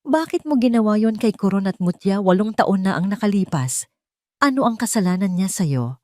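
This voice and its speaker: A woman's voice: mid-range voice, expressive, moderate pace, darkish tone, dry recording, lightly acted. A woman with a Filipino accent voicing a wealthy, wounded, determined son confronting his mother in an audio drama. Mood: pained, demanding. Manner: intense, accusing.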